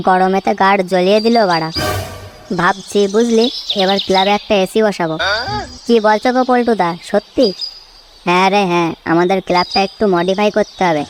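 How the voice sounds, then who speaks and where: A woman's voice: mid-range voice, background noise, lightly acted. A woman voicing foul-mouthed, bickering young village lads in a comedy cartoon, crude and slangy. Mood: grumbling, then casual. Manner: crude, slangy, cursing constantly.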